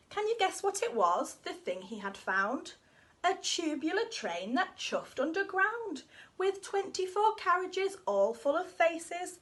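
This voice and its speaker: A woman reading a rhyming children's story aloud in an expressive, sing-song way. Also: extremely high pitch.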